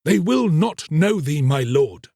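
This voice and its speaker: Guy doing a fussed royal chamberlain, pleading and courtly, fairy tale, husky, pitch all over. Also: big pitch swings, takes it slowly, warm tone, no echo, clean studio sound, heavily acted. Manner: pleading, courtly archaic speech. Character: anxious, deferential royal chamberlain.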